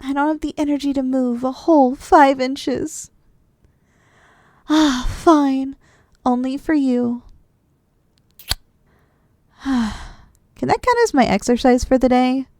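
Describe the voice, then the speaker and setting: A woman playing an affectionate, drowsy, playful wife in an intimate ASMR roleplay, speaking softly and teasingly. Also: sits high, steady tone, slow pace, long pauses, dry recording. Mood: sleepy, playful. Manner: soft, affectionate, teasing, with sighs and giggles. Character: affectionate, drowsy, playful wife cuddling after a big dinner. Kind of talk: ASMR roleplay, intimate one-sided dialogue.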